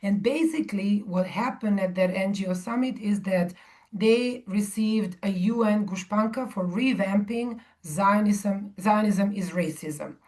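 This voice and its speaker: A woman's voice: mid-range voice, a little mellow. Woman from Serbia giving a talk. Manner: measured, with frequent ums and restarts. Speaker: guest speaker. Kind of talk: talk to a live audience.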